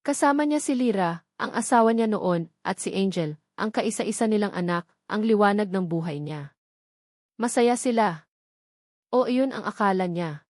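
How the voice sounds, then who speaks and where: A woman with a Filipino accent reads a romance serial as dramatic scripted storytelling, with expressive, measured and suspenseful phrasing. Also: mid-range voice, dry recording, studio quality. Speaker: audiobook narrator.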